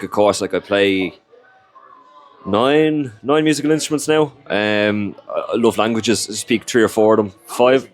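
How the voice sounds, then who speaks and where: A young Irish man, whose first language is Irish, chatting casually in an interview and rambling with lots of fillers. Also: lowish voice. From Ireland. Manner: rambling, heavy on "you know" and "like" fillers.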